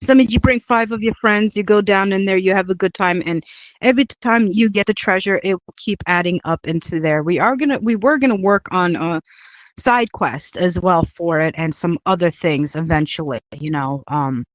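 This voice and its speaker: A woman's voice: fairly low pitch, moderate pace, dry recording. A woman whose first language is Hungarian, chatting casually about building a game, rambling with many fillers and laughs. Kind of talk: casual chat.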